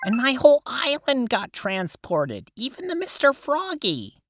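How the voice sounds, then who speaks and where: A woman plays a friendly, earnest teenage girl in an audio drama. Her delivery is animated but slow, slightly scatterbrained, with music clearly underneath. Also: high voice, exaggerated voice.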